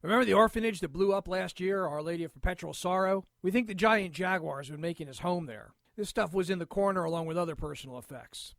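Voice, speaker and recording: An actor playing a blunt, suspicious police detective in an audio drama, gruff and clipped as he questions. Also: high-pitched, fast talker, few pauses, a bit bright, no room sound. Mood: blunt, probing.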